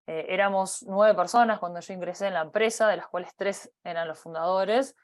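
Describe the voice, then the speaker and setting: A woman in her thirties with a Uruguayan accent, giving a personal career talk, pausing often with fillers and restarting her phrases. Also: medium pitch, fairly even intonation. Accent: Uruguayan Spanish. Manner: hesitant, many fillers and restarts. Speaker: company partner giving a talk.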